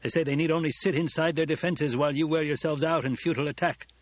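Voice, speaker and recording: A man's voice: on the high side, fairly even intonation, quick pace, lo-fi sound, voice pushed up, natural voice. A man voicing a loyal veteran soldier in an audiobook, deferential to his lord, speaking earnestly and emphatically. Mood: emphatic. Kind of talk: audiobook character dialogue.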